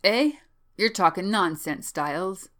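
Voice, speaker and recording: A woman's voice: mid-range voice, lively intonation, a little slow, a bit bright. A woman voicing a sceptical, level-headed young male medical student in an audiobook, dry and curt. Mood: dismissive. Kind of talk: audiobook character voice.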